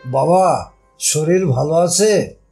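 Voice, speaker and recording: A man acts a patient grown son in an audio drama. His voice sits a little high and moves slowly, in brief deferential phrases, over faint music. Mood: soothing. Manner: gentle, deferential, brief replies. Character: dutiful, patient grown son soothing his old father. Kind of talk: Bengali audio story acting.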